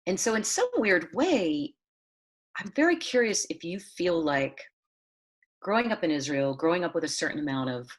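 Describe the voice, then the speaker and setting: A woman from Southern California, a playwright, musing and hedging in a relaxed chat on a video call. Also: lowish voice, moderate pace. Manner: musing, hedging, lots of fillers and restarts. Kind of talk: casual conversation over video call.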